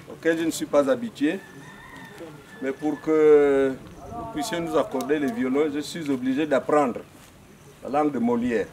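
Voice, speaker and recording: An older man with a Gabonese accent, an elder whose first language is Ipounou, making a halting formal speech at a customary wedding. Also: on the high side, a little mellow, slight background noise. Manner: halting, searching for French words, many fillers.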